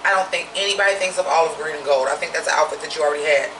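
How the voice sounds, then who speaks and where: A woman with a naturally deep voice giving a blunt, profane, opinionated drag review in a casual vlog. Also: very fast, roomy sound, recorded from a distance, background noise.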